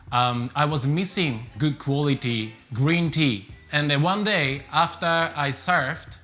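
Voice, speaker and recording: Japanese-accented man, bright tone, faint music behind, relaxed self-introduction full of uhs. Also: medium pitch. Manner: relaxed, many fillers. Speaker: tea business owner.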